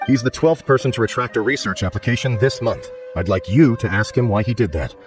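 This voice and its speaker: Brisk, formal male office supervisor, acted out. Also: mid-range voice, faint music behind, natural voice. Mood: stern, businesslike. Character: formal, stern but fair male research office supervisor. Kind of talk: video game voice acting.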